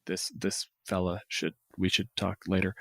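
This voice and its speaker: A man's voice: deep voice, level tone, normal speed, vocal fry, no room sound, studio quality. A man co-hosting a podcast in casual, conversational discussion. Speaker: podcast co-host.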